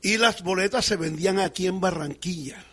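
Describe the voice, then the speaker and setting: A middle-aged man with a Caribbean Colombian accent talks in a conversational, reminiscing way. His voice sits high for a man and has a husky, rough edge. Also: moderate pace, sharp tone. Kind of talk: conversational reminiscing about football.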